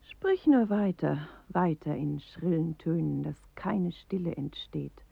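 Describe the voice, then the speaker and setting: A weary, wry woman recites bleak radio poetry very huskily. Her low voice is slow and intimate, as if confiding. The sound is narrow and muffled. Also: no echo, exaggerated voice. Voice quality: husky, gravelly. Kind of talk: poetic radio drama monologue. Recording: muffled, phone-like 1980s radio recording.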